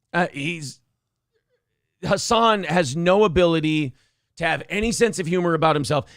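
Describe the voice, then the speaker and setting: A man with a gravelly, slightly high voice hosts a casual morning talk show. His throat is dry, and he riffs in a blunt, profane, opinionated way. The mic is close and dry, with no room sound. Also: fairly bright tone, dry recording. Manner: profane, opinionated, acts out quotes in raised voices.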